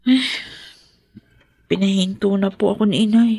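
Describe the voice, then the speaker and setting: An actress playing a meek, dutiful young daughter in a Filipino radio drama, hesitant, polite and subdued. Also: female voice, medium pitch, fairly even intonation, moderate pace, gravelly, dark tone, husky, dry recording, studio quality. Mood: polite, resigned.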